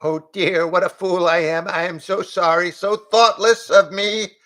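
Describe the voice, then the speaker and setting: A man puts on a fretful goat in spectacles and a tweed vest, muttering and apologizing in a prim, slow, fussy way. The voice sits a little higher than an ordinary man's, drawn out like a storybook character. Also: steady tone, a bit bright.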